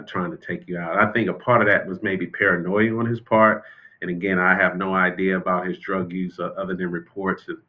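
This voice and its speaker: An American man speaking as an expert interview guest and former prison official, explaining things in a measured, conversational way. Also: low-pitched, narrow, tinny audio. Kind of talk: unscripted interview commentary.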